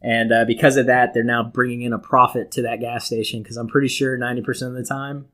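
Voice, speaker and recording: A man's voice: medium pitch, level tone, fast talker, fairly bright tone. A man co-hosting a podcast with casual comedic banter and crude, profane joking. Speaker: podcast co-host.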